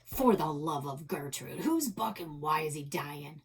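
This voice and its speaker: Woman doing an old grandfather, grumpy, exasperated, stern, husky, storybook character. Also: low voice, expressive, a little slow, exaggerated voice. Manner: gruff, exasperated.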